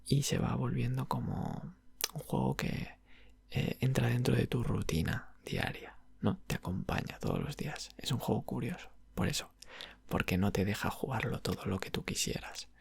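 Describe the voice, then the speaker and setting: A man with an accent from Spain playing a patient, friendly video game shop clerk in a soft, unhurried ASMR roleplay, close to the microphone. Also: mid-range voice, sing-song, no echo, full character voice. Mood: relaxed, explaining. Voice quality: breathy, soft, creaky. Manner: unhurried, hesitant, explaining gently in diminutives. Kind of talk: ASMR roleplay, soft whispered and low murmured talk. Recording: dry, very close ASMR recording.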